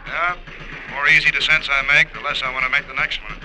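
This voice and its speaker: An actor playing an uneasy, musing deep-sea diver talking over an intercom in an old radio drama. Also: male voice, little pitch movement, brisk, bright, forceful tone. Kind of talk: 1940s-50s radio drama acting. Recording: noisy vintage radio, diving intercom sound.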